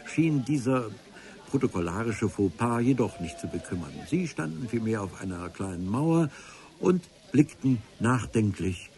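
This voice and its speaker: A man's voice: mid-range voice, sing-song, unhurried, warm tone. A man narrating a radio adventure serial in the first person, with a dry, ironic storytelling manner. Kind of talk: scripted radio-play narration. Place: radio drama studio.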